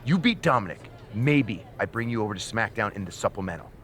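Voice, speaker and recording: A man's voice: on the low side, lively intonation, fast talker, a bit bright, a little hiss or hum. A man playing a smug, scheming wrestling manager in a video game, condescending, needling and theatrical. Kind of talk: scripted video game cutscene acting.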